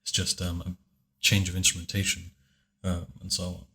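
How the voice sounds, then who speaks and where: Very deep-voiced soft-spoken man. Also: monotone, moderate pace. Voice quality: very deep, low voice. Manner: soft-spoken, hesitant, with many fillers and restarts. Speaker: video creator giving commentary. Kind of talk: casual commentary chat.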